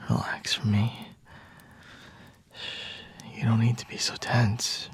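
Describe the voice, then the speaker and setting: Man playing a husky whispering flirty male vampire. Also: medium pitch, flat delivery, full character voice. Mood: seductive, soothing. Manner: slow, intimate, teasing. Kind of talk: whispered ASMR roleplay.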